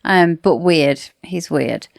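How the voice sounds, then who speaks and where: A woman with a fairly low voice and plenty of vocal fry talks as a podcast co-host. She keeps it informal and conversational, as in a relaxed book discussion. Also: takes it slowly, no echo, clean studio sound. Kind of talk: casual book discussion chat.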